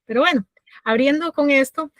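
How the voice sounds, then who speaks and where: A middle-aged woman from Costa Rica with a Costa Rican accent, a guest telling her life story in a reflective way with many pauses and fillers. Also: high voice, fairly bright tone, dry recording. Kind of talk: personal story interview.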